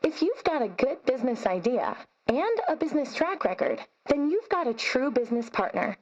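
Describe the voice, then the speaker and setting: High, animated woman reading radio ad. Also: normal speed. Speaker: commercial announcer. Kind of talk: scripted radio ad read. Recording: dull radio broadcast sound.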